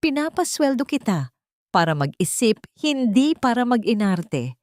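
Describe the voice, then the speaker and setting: A woman's voice: a little high, very animated, unhurried, a little mellow, no room sound, voice pushed up. Woman storyteller as arrogant rich madam, domineering and scolding, audio-drama villain, dry close sound. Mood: scornful, scolding. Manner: scolding, imperious. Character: haughty, abusive wealthy lady boss.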